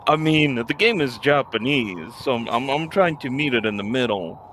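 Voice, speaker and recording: A man's voice: mid-range voice, animated, moderate pace, a bit bright, slight background noise. A man making casual, joking commentary as co-player during a game playthrough.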